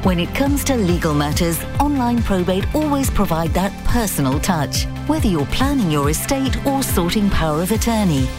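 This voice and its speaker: A woman's voice: medium pitch, sing-song, moderate pace, hardly any pauses. A woman voicing a scripted radio advert in an upbeat, selling tone. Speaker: advert voice-over. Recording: music bed behind voice.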